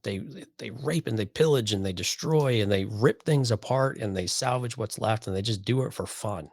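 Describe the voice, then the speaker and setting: Wry man with a lowish voice. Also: normal speed, vocal fry, a little mellow. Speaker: podcast co-host. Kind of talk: casual opinionated chat.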